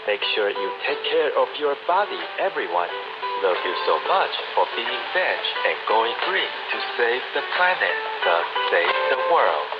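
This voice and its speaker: A man's voice: medium pitch, very animated, unhurried. A man voicing a cheery, friendly animal duke who earnestly and affectionately addresses viewers in a scripted TV segment. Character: cheery friendly vegan duke animal from Golden Pheasant Kingdom. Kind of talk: scripted character segment with health tips. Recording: dull lo-fi shortwave radio, loud music behind. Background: loud music.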